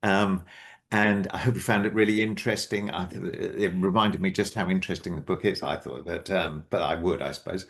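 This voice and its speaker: A man with a very low, deep voice hosts an online book launch over a video call. He talks in a halting, thinking-aloud way, full of ums and restarted phrases. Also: steady tone, creaky. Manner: hesitant, many ums and restarts. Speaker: book co-author and event host.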